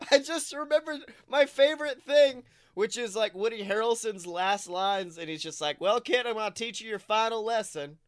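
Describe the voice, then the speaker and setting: A man co-hosting a casual film chat, talking in an excitable, slangy way and swearing freely. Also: sits high, moderate pace, hardly any pauses, no room sound, studio quality. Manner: self-described nerd, animated. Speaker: podcast co-host.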